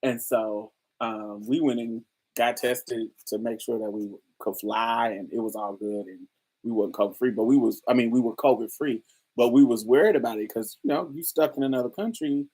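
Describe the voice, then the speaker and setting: A woman hosting a casual chat, telling stories in a lively way with frequent laughter and free swearing. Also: extremely low pitch, normal speed, slightly rough, low-quality recording. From New Orleans, USA. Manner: animated storytelling, frequent laughter, swears freely. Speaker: host. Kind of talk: casual livestream chat with viewers.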